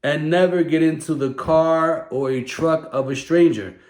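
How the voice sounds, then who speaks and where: Woman teaching a little kid, low voice, repeats stuff n asks questions, echoey room. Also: measured pace, darkish tone. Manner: instructive, repeats key phrases, prompts with questions. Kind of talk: child-directed safety lesson.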